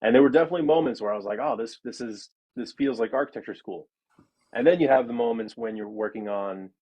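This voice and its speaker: A man in his early thirties, a guest architect, talks through his career in a loose, rambling style. His voice sits on the low side, and his sentences are sprinkled with "like" and "um". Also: highs cut off. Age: 30s. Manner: chatty and rambling, lots of "like" and "um". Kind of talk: casual interview talk.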